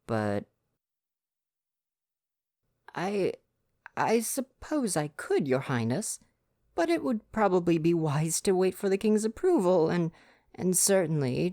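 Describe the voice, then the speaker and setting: A man's voice: fairly high pitch, darkish tone, no room sound, studio quality, full character voice. A man playing a prim, devoted royal butler with formal, fretful diction in an ASMR roleplay. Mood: reluctant, fond.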